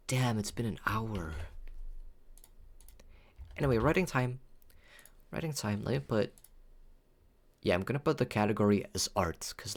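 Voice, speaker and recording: A man chatting casually on a livestream in a playful, cutesy, rambling way, often repeating himself. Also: fairly low pitch. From Philippines. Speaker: VTuber livestreamer.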